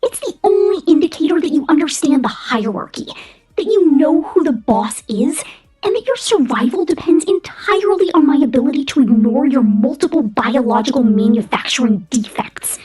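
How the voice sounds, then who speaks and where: A woman's voice: sits high, expressive, normal speed, dark tone, soft background music. A woman voicing a domineering, sadistic female corporate killer robot in a menacing, half-whispered ASMR roleplay monologue. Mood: haughty, possessive. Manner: menacing, contemptuous, commanding, breathy half-whispered delivery.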